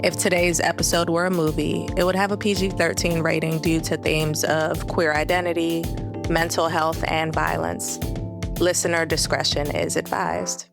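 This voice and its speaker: A woman with a notably low voice hosts a podcast, reading her introduction at a measured, even pace. A music bed plays clearly beneath her speech. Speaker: podcast host. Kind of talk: scripted introduction and interview questions.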